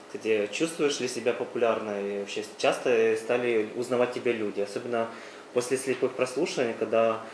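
A woman interviewer with a very deep, low-pitched voice fires off quick questions in a brisk, businesslike way. She speaks fast, and the echoey room carries a faint hum of background noise. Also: fairly even intonation, a little mellow. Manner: brisk questions, often opening with "by the way".

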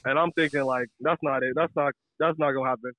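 A man's voice: mid-range voice, fairly even intonation, fast talker, fairly bright tone, no echo, poor audio. A young American man, a caller casually telling a personal story in slangy, profane language.

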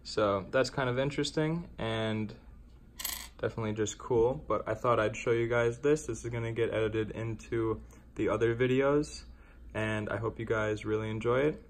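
Laid-back young man, low and flat. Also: normal speed, slight background noise. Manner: relaxed, rambling, frequent uh fillers. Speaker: coin-collecting vlogger. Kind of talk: casual vlog chat. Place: car, then indoors at a desk.

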